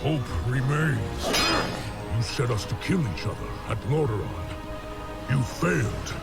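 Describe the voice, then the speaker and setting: An actor playing an aging, wounded orc warrior in a game cinematic, defiant and honour-bound, with gravelly, weighty delivery. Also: male voice, mid-range voice, lively intonation, loud music behind the voice. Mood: bitter defiance. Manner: slow, weighty, declamatory delivery. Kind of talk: video game cinematic acting. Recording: loud cinematic score behind.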